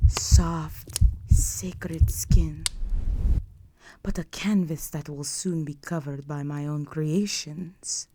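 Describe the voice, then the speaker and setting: Slow, low-voiced regal vampire countess, acted by a woman. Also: fairly even intonation, background noise. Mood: intimate, predatory. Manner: imperious, formal, deliberate, seductive. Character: regal, possessive vampire countess, seductive and commanding. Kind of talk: roleplay audio drama, intimate monologue to the listener.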